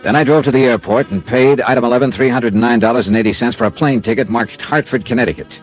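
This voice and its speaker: An actor playing a tough, wry insurance investigator in a classic radio drama, clipped and sardonic. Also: male voice, medium pitch, quick pace, natural voice, poor audio. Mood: dry narrating. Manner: clipped, sardonic, hard-boiled. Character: tough, wry freelance insurance investigator, narrating his case. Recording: vintage radio drama with music.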